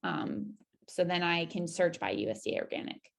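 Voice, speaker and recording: Creaky-voiced woman giving a casual demo. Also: fairly low pitch, animated, moderate pace. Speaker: presenter giving a website demo. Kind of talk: casual screen-share walkthrough.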